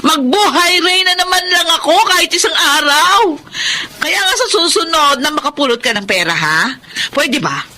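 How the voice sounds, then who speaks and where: A woman's voice: sits high, animated, normal speed, pushed and bright. A woman acting a poor, anxious, nagging wife and mother in a radio drama, sarcastic and emotional. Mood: bitter, nagging.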